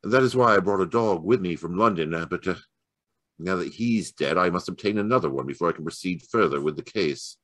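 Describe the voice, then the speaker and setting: A man playing a shrewd, courteous gentleman detective with measured formality, in old-time radio mystery style. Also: deep voice, dry recording. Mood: courteous, assured.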